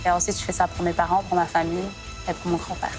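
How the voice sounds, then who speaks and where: A woman's voice: on the low side, flat delivery, fast. A nineteen-year-old woman from Congo, a singer giving an earnest, modest interview. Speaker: singer contestant. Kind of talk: personal interview to camera. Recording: music under the voice.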